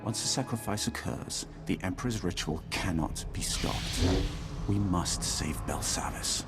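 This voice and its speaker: Deep-voiced, solemn Sith lord. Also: male voice. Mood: urgent. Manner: solemn, ominous, measured declarations. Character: grave Sith lord defector, proud, coldly calculating seer. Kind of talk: dramatic video game acting. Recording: loud music behind the voice.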